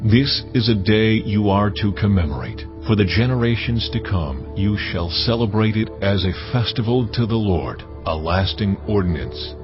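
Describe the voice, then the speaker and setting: God , voiced by a man, deep commanding divine figure, authoritative decrees, lo-fi with music. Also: expressive, moderate pace, hardly any pauses, close to an everyday voice. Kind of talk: dramatized audio Bible acting. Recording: dull lo-fi sound with clear music.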